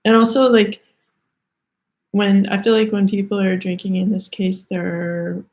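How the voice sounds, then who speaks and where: A woman with a Canadian accent giving a halting, thoughtful spiritual card reading, full of fillers and restarts. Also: medium pitch, flat delivery. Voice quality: soft-spoken, hesitant, many fillers and restarts. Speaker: tarot reader.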